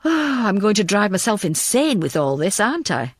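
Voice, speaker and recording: A woman voicing an anxious, wry detective in an audiobook, animated and given to exclaiming. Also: medium pitch, moderate pace, dry recording, studio quality. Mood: fretful.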